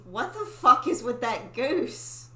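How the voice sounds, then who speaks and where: Teasing young woman, bright and lively. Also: fairly high pitch, takes it slowly. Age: young adult. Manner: teasing, blunt interjections. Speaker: co-host heckling the reader. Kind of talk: casual chat. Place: small bedroom. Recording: echoey room with background noise.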